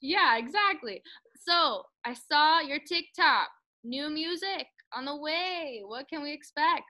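A woman's voice: high voice, lively intonation, takes it slowly, bright, forceful tone. A woman hosting a country music interview, chatting enthusiastically and fond of puns. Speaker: interview host. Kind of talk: upbeat podcast interview.

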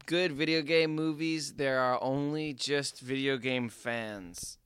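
A man's voice: medium pitch, fairly even intonation, slow pace, crowd noise. A man trading casual comedic banter as a podcast panelist, joking and self-deprecating.

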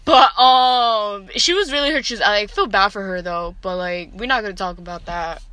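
A woman's voice: medium pitch, moderate pace, bright, forceful tone, clear, resonant tone, no echo. An eighteen-year-old woman talking slangily and frankly in a casual group chat. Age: teens.

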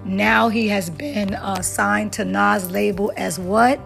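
A woman's voice: medium pitch, fairly even intonation, slow pace, gravelly, a bit bright, faint music behind. Upbeat woman hosting a chat show. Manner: upbeat, emphatic, hype-building. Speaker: podcast host and hairstylist. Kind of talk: casual interview chat.

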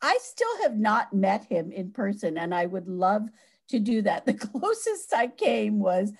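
A woman from Hawaii, a guest in a casual interview, warm and effusive as she talks. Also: medium pitch, sing-song, measured pace, husky.

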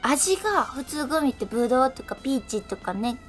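A woman with a fairly high-pitched voice chats casually as a radio co-host. Faint music sits underneath her talk. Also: expressive, a little fast. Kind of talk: casual chat.

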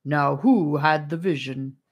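Playful storybook girl of a magic realm, played by a woman reader, giggly, mysterious, briskly businesslike. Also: low voice, breathy edge, exaggerated voice. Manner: giggly, then briskly serious.

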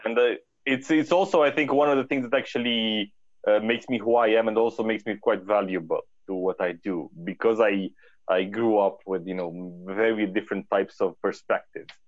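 A man's voice: fairly low pitch, steady tone, normal speed, no echo, highs cut off. A man from Romania talking as an interview guest, hesitant, with many fillers and restarts. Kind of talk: casual interview talk.

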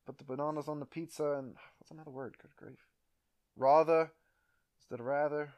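A man's voice: a little high, brisk. A young man from Canada co-hosting a casual podcast, ranting in jokes and laughing often. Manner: animated, laughs often, jokey rants. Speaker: podcast co-host. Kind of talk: casual chat.

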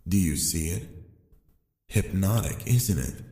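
A man playing a calm, sly, seductive fallen angel in an intimate ASMR roleplay, speaking slowly and deliberately to the listener. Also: deep voice, full character voice. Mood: seductive, coaxing. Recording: echoey, long reverb.

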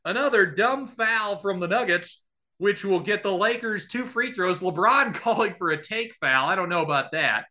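A man calling a live basketball game as a radio play-by-play announcer, with an excitable delivery. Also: high-pitched, moderate pace.

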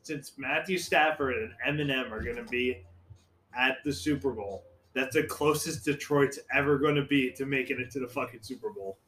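A young man talks bluntly in casual chat, swearing freely and teasing his friends. His voice is mid-pitched, with a faint hum of room ambience underneath. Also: moderate pace, fairly bright tone.

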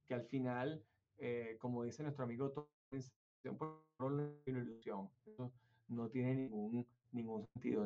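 A man from Venezuela hosting a conversational interview, musing at length and circling back with fillers and restarts. His voice sits at an ordinary pitch, and the recording sounds somewhat dull and lo-fi. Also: a little mellow. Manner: rambling, hesitant with many fillers and restarts. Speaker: interview host.

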